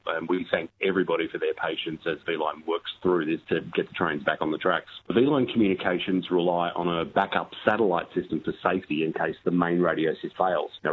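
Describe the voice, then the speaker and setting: A man's voice: low voice, breathy edge. A man speaking formally as a transport spokesperson, reassuring the public in a news clip. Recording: phone-line audio clip.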